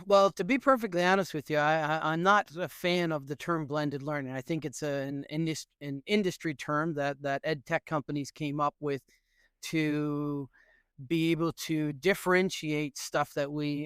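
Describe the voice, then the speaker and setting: A middle-aged man from Newfoundland, Canada, answering interview questions as an education professor in an earnest, animated way with frequent fillers. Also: a little high, moderate pace, clear, resonant tone, no room sound, clean studio sound.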